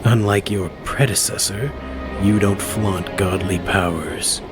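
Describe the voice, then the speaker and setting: A man plays a calculating, genteel billionaire villain for a comic dub. His voice is low and his phrasing formal and pompous, with loud music behind. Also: slow. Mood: smooth, persuasive.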